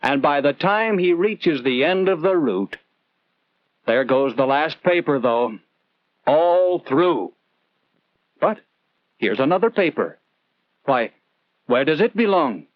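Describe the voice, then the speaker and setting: Old 1940s school film narrator guy, slightly high voice, earnest and chatty storytelling, dull old soundtrack. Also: lively intonation, slow, long pauses, no room sound. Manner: earnest, conversational, rhetorical questions to the viewer.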